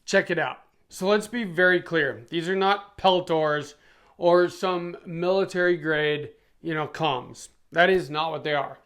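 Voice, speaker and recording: A middle-aged American man with a noticeably high voice for a male speaker. He talks in a plain-spoken, conversational way, like a video host casually walking viewers through a product. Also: measured pace, a bit bright. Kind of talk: casual product review talking to camera.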